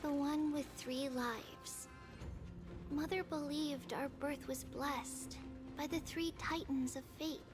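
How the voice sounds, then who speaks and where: A calm, reflective young heroine. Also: female voice, sits high, slow. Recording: game audio with loud music.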